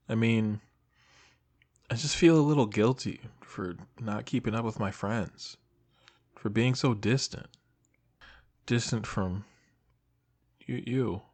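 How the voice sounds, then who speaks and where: Guy acting as a shy young man, your old best friend, low voice, casual n hesitant, audio roleplay. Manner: hesitant, casual, soft-hearted, trailing off. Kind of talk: intimate audio roleplay to the listener.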